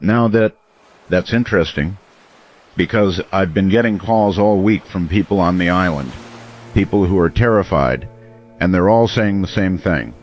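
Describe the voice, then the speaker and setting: Low-voiced actor as late-night radio host. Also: male voice, moderate pace, darkish tone, highs cut off, faint music behind, close to an everyday voice. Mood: probing, uneasy. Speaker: radio talk show host.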